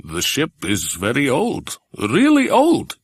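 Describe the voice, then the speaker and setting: A man voicing a learned, aloof older uncle in a children's storybook, speaking in a measured, pondering, pedantic way. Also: mid-range voice, wildly swooping pitch, breathy edge, dry recording, pitched above the performer's own voice, heavily acted. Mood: puzzled, pondering.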